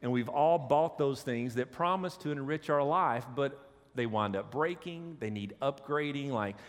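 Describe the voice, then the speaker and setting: An associate pastor with a cold, his voice deeper than usual, preaching a sermon with jokes and asides to the congregation. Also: moderate pace. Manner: joking, conversational preaching with audience asides. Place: church sanctuary. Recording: long hall reverb.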